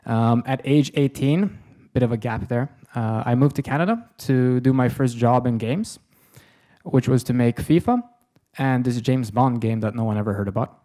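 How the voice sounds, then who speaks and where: A man's voice: medium pitch, normal speed, mellow. A man in his thirties with a Swedish accent, giving a self-deprecating, joking conference lecture from a podium, with lots of ums. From Sweden. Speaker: conference speaker. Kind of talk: lecture presentation. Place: conference hall with audience. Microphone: podium microphone, far camera.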